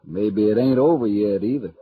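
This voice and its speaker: An actor playing an unworried, insolent cowhand in a 1950s western radio drama, with a laconic drawl. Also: male voice, fairly low pitch, moderate pace, natural voice. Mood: cool, needling. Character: unworried, insolent cowhand loyal to a cattle boss. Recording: tinny band-limited old radio recording.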